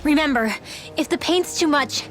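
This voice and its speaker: A gentle young female companion from a game cutscene, acted by a woman. Her voice is fairly high, soft and caring. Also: normal speed, faint music behind, natural voice. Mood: concerned. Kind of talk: dubbed anime-style game voice acting.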